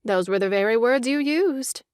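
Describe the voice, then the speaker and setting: An actress playing a dutiful young wife in a comic farce, polite, formal and primly agreeable. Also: female voice, a little high, expressive, moderate pace, darkish tone, clean tone, no echo, studio quality, natural voice. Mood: earnestly agreeing. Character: dutiful young wife, sweetly backing her husband's bluff. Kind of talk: comic stage farce acting.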